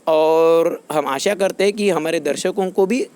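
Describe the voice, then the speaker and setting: A man's voice: a little high, a little slow, a little mellow, slight background noise. A man hosting an informal explainer for his channel's viewers, wordy and often repeating himself. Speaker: YouTube channel host.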